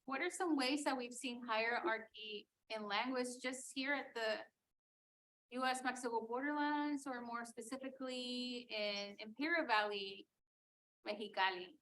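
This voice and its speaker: A woman's voice: on the high side, measured pace, a bit bright, a little hiss or hum, low-quality recording. A woman teacher moderating a class discussion in a questioning, reflective manner.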